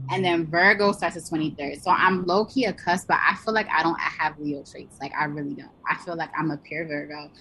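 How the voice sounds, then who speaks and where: A woman's voice: fairly low pitch, fairly bright tone, slightly rough, poor audio. A woman in her twenties, from Pennsylvania, chatting on a podcast as co-host in a bubbly, talkative way. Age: 20s. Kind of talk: casual podcast chat.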